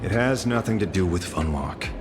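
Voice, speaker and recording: An actor playing a grave, enigmatic leader of rangers in a video game, speaking in a slow, measured way. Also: male voice, low voice, lively intonation, over music. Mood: grave, reflective.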